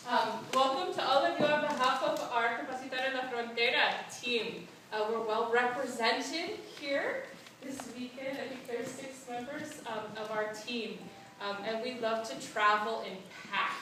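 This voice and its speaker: A woman presenting a conference workshop, speaking warmly with lots of "um" fillers and repeated phrases. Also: a little high, normal speed. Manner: warm, filler-heavy, repetitive phrasing. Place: conference room. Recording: echoey room, distant, noisy.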